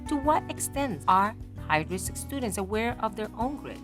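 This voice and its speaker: A woman's voice: on the high side, sing-song, moderate pace. A woman reading a scripted research narration in a formal, academic manner. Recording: music behind the voice. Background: music.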